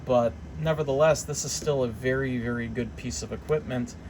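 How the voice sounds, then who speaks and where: A man with an average-pitched voice gives an informal, hands-on demonstration as a hobbyist repairer. He talks in a halting, think-out-loud way, unscripted and explanatory. A clear, steady background noise runs under his voice. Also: rough recording. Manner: halting, thinking aloud as he goes.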